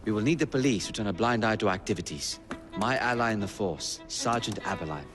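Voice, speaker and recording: A man playing a measured, courteous Victorian spymaster and helpful ally in a video game cutscene, slightly hesitant. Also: lowish voice, lively intonation, a bit bright, faint music behind. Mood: composed, explaining.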